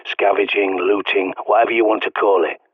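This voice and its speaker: Gruff british prepper barking orders, sarcastic, thin tinny radio sound. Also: male voice, fairly low pitch, steady tone, brisk, no room sound. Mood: commanding. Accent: British English. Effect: radio transmission filter. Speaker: radio guide character. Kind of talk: video game voice acting. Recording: tinny radio-filtered dry studio voice.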